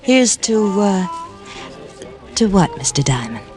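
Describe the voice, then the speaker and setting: An actress playing a cool, coy American carnival dancer in old radio detective drama style, guarded and teasing. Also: female voice, medium pitch, big pitch swings, slow, dark tone. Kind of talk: 1940s-50s radio detective acting. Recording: old radio recording, faint music.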